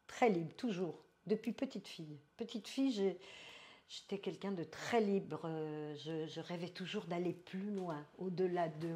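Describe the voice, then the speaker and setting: Older woman from France, interview guest in her seventies, unhurried, lowish voice, reflective. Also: darkish tone. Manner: slow, thoughtful, mixing in English phrases. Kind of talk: reflective life story.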